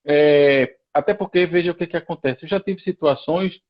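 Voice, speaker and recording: A man's voice: a little high, moderate pace, highs cut off. A man with a Pernambuco accent from Recife, a lawyer guest on a live stream, explaining procedures methodically step by step with frequent restarts. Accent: Pernambuco Brazilian Portuguese. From Recife, Brazil.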